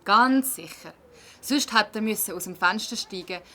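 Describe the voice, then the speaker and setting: A woman's voice: mid-range voice, moderate pace, pushed and bright. A woman playing a chatty, protective hedgehog mother in a children's audio play, with a Swiss German accent and a folksy, emphatic manner. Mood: indignant, defensive.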